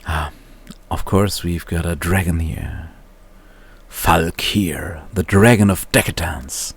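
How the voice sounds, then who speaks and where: Deep male voice, slow delivery, casual reviewer, halting and unscripted. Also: husky, dry recording. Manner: halting, searching for words, often chuckling to himself. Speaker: reviewer, video host. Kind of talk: casual off-the-cuff book review.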